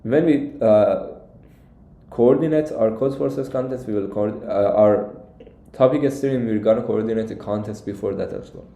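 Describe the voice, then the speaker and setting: Mellow young Iranian-accented man, echoey room. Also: fairly low pitch, moderate pace, gravelly. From Iran. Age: young adult. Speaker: competitive programming coach and live streamer. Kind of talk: casual live stream Q&A with viewers. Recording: echoey, roomy sound.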